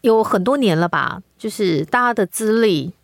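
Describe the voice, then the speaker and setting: Lively Taiwanese woman podcast host. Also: mid-range voice, moderate pace. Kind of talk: casual interview.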